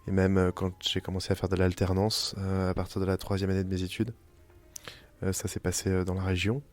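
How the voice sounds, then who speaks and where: A young French man, an interviewee telling his story in casual conversation, pausing often with fillers and restarts. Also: sits low, level tone, a little mellow, soft background music. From France. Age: young adult. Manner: hesitant, many fillers and restarts. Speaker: interviewee, company founder. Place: family house in the countryside.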